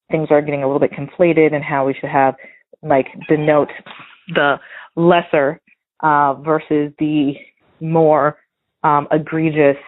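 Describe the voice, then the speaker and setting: An American woman leaving a casual voicemail as a listener calling in, earnest and a little hesitant as she thinks aloud. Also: low voice. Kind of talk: casual spoken voicemail commentary. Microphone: phone. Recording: muffled phone-line voicemail.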